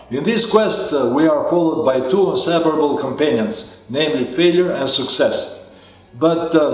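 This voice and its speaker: A non-native English-speaking man delivers a motivational lecture as a life coach, pausing often and filling the gaps with hesitant sounds. His voice sits at an ordinary middle pitch. The recording carries heavy echo, as if made in a bare, reverberant room. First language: not English. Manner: slow and deliberate with frequent hesitations and fillers. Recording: very echoey room.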